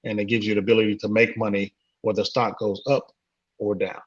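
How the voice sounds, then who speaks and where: A husky man with a low, flat voice talks through his answers, stopping often on "uh" and "um". The sound is dull and lo-fi. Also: fairly quick. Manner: hesitant, many uh and um fillers. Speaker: guest, trading community co-founder. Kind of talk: casual interview answers.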